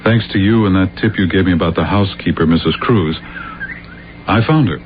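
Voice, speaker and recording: An actor playing a steady, considerate lawyer in an old-time radio drama, speaking in a measured, gentle, serious way. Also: male voice, sits low, lightly acted. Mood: grave, confiding. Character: steady, considerate male lawyer and family friend. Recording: muffled, hissy 1970s radio recording.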